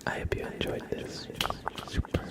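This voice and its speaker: A man hosting an ASMR livestream, chatting to viewers in a breathy whisper mixed with soft, creaky speech, gentle, unhurried and playful.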